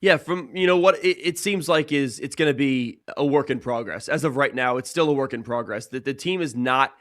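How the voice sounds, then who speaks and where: A man's voice: medium pitch, a little fast, a bit bright, no room sound, studio quality. A young man, a sports broadcaster, giving earnest, conversational answers as a guest in a casual interview. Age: young adult.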